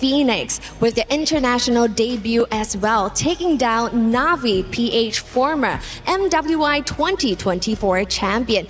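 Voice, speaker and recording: A woman's voice: on the high side, expressive, unhurried, few pauses, faint music behind. Polished female esports presenter. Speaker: esports caster. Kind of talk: scripted show opener.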